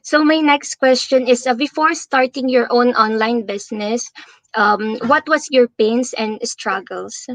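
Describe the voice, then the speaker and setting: Bright, high, effusive woman host. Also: unhurried, no echo, rough recording. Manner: upbeat, effusive, many fillers. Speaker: livestream host and interviewer. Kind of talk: livestream interview hosting.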